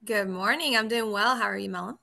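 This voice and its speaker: Woman in her thirties from Argentina, a police officer guest with a bright, crisp tone and mid-range pitch; easygoing, filler-heavy delivery. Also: a little fast. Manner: relaxed, with frequent fillers and playful boasts. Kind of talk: casual interview chat.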